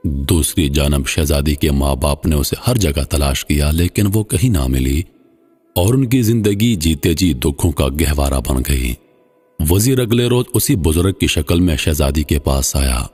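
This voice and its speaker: Male narrator, extremely low pitch, husky edge, solemn scripted folk-tale storytelling, long reverb. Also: a little mellow. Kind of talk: scripted moral folk-tale narration in formal literary Urdu. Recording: long hall-like reverb, slightly muffled voice.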